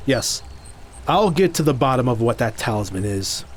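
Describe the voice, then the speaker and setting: A man's voice: medium pitch, animated, moderate pace, a little hiss or hum, natural voice. A man playing a resolute hero and trusting leader in an audio drama, speaking plainly and with command.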